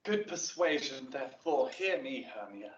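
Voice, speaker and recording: A man's voice: mid-range voice, sing-song, moderate pace, reverberant, distant mic, highs cut off, a little hiss or hum, close to an everyday voice. An actor playing an ardent young male lover in a Shakespeare audio drama, speaking verse persuasively and theatrically.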